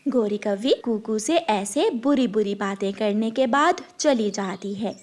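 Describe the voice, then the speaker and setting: A woman's voice: mid-range voice, a little slow, a little mellow. A woman giving scripted narration for a children's cartoon story, as the storyteller.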